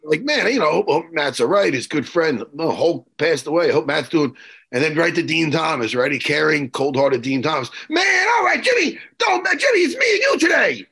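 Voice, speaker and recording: A man in his forties with a bright, gravelly, raspy voice that swings widely in pitch as he teases his friends. He talks in loose, ribbing radio banter into a studio mic. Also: moderate pace. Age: 40s. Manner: teasing, animated ribbing. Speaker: radio co-host.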